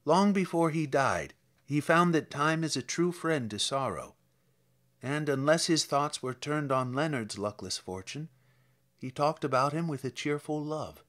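A man voicing a kindly old village priest who recalls the dead, reading dramatic poetry in a measured, solemn, sorrowful way. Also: fairly high pitch, a bit bright. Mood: gentle consolation.

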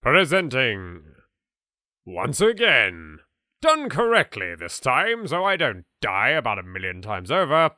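A man with a British accent giving casual, excitable gameplay commentary, self-deprecating and repeating himself. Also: medium pitch, measured pace, no echo, studio quality. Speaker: let's play host. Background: game music.

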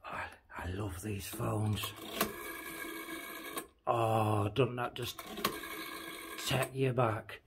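A man exploring a dark abandoned building, murmuring excited, hushed remarks to his handheld camera. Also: fairly low pitch, very slow, long pauses. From England. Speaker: urban explorer. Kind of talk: exploring vlog. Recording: noisy handheld camera audio.

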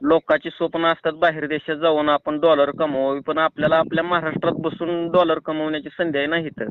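Marathi village guy on a phone call, smooth voice, talking someone into stuff, tinny line. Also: on the high side, level tone, fairly quick, dry recording. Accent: Marathi, rural Maharashtra. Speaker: prank caller. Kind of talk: casual persuasive phone chat.

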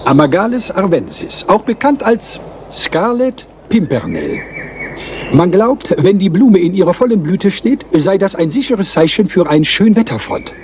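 Fussy little Belgian detective, high animated voice, pedantic delivery, radio drama, noisy outdoor ambience. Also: male voice. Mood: lecturing. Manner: precise, lecturing, fastidious. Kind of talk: German radio play dialogue.

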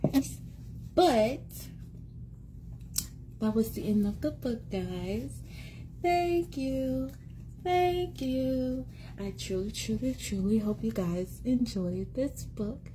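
A woman in her thirties reading a picture book aloud to young children in an expressive, sing-song, encouraging way, repeating words for them. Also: on the high side, takes it slowly, slight background noise, rough recording. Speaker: children's read-aloud host and teacher. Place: small indoor room at home. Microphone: phone or tablet at arm's length.

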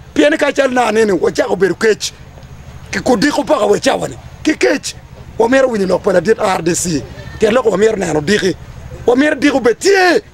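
Ugandan male community leader, thirties, impassioned and declamatory, pitched extremely high. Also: breathy edge. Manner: emphatic, declamatory, repetitive phrasing. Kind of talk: impassioned public address to camera. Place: outdoors under trees among a gathering.

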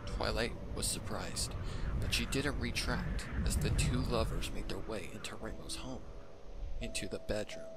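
Man, story narrator, lowish pitch, scripted reading, loud background noise. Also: normal speed. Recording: very noisy background.

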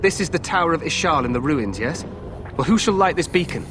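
A man's voice: a little high, expressive, brisk, background noise, close to an everyday voice. An actor playing an eager, self-assured young warrior king in a fantasy game, regal and declamatory. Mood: commanding. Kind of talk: dramatic fantasy game acting.

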